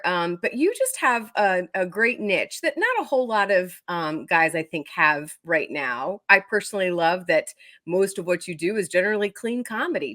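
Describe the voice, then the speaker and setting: A woman hosting a talk show interview with a bright, crisp-edged voice. She chats informally and is effusive and upbeat with her guests. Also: mid-range voice.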